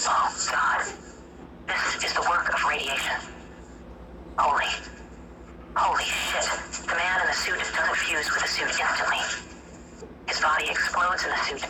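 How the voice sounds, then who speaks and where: A narrator voicing a shocked female teacher friend explaining over a call, hushed and breathy. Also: sits low, unhurried, long pauses, background noise.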